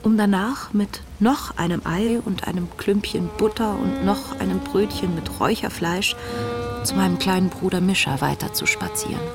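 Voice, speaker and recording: An actress playing a young woman diarist in a radio drama, reading her entries in a hushed, reflective, tender way. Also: lowish voice, natural voice. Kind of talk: intimate diary narration in radio drama.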